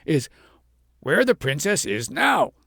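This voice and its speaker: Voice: medium pitch, sing-song, slow pace, gravelly, husky, dry recording, studio quality, pitched above the performer's own voice, heavily acted. A reader playing a blustering tyrant king. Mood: pompous. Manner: loud, pompous, threatening.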